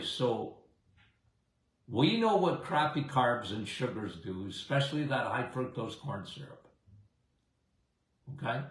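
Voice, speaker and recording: A middle-aged man with a big voice and a slight Canadian accent talks at an unhurried pace, rambling and chatty, often checking in with his listeners. The recording has a roomy echo around him. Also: medium pitch. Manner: chatty, rambling, says "okay?" and "guys" often. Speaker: health show host. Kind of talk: casual live health talk.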